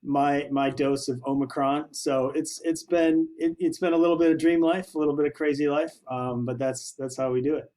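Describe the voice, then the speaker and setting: A man's voice: on the high side, fairly even intonation, normal speed, hardly any pauses, darkish tone. Chatty male podcast co-host. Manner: chatty, loose, with many fillers and restarts. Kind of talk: casual banter.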